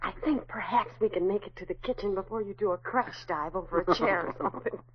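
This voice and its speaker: Old radio serial: actress as brisk, girlish young woman, muffled recording with faint hum. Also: mid-range voice, lightly acted. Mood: playful, teasing. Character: lively young woman out on a date. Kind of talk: 1940s radio acting. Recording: dull, noisy 1940s radio recording.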